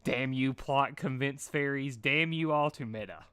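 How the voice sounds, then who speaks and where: A man's voice: mid-range voice, a little slow, fairly bright tone, smooth, no room sound, close to an everyday voice. A man voicing a hyperactive, fretful female cartoon party pony. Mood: panicked.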